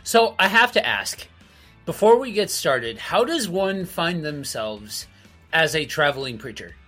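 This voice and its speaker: A man hosts his podcast in a slow, careful way, pausing with small fillers. His voice is a little high and bright. Soft guitar music plays faintly behind him. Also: big pitch swings. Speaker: podcast host. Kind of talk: podcast introduction.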